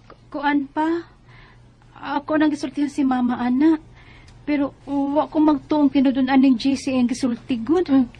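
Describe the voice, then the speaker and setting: A woman acts out a young Filipino wife with a Cebuano accent in a radio drama. Her voice is high and soft-toned, and she comes across as hesitant and earnest as she recalls her married life. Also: steady tone, moderate pace, long pauses, highs cut off, close to an everyday voice. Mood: startled, reluctant. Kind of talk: radio drama acting and first-person narration.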